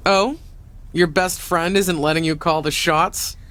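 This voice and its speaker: An actress playing a playful middle-aged aunt and former pro wrestler in video game dialogue, chatty and teasing. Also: female voice, lowish voice, fairly even intonation, measured pace, fairly bright tone. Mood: eager, teasing.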